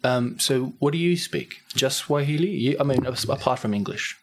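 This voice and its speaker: A man's voice: medium pitch, normal speed, a little hiss or hum. Curious man asking questions. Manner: curious, asks many questions, laughs easily. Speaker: host. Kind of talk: casual conversational interview.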